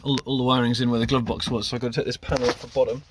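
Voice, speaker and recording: A man's voice: mid-range voice, little pitch movement, rapid-fire, background noise. A man talking casually and off the cuff as he presents a hands-on DIY demonstration, informal and self-deprecating. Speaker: car hobbyist presenting a DIY video.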